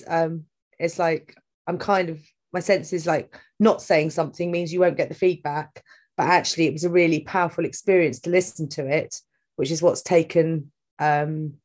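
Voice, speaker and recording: A woman in a workshop group talking in a chatty, halting way with many fillers over a video call. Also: sits low, a little mellow. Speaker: workshop participant.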